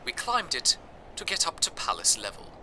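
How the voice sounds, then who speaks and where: A man playing an earnest, awed broadcaster from a fantasy city, narrating a scripted radio drama in a measured, wistful way. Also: mid-range voice, wildly swooping pitch, background noise. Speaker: radio host.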